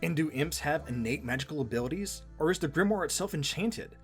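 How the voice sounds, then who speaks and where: Lively, casual co-host with music underneath. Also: mid-range voice, fairly quick. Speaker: video co-host. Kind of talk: conversational video commentary.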